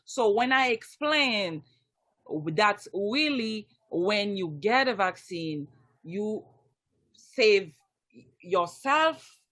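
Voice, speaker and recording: A woman with a Haitian Creole accent, whose first language is Haitian Creole, speaking in an online community meeting. She talks haltingly, with many pauses and restarts. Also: mid-range voice, lively intonation, fairly bright tone. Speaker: community outreach volunteer.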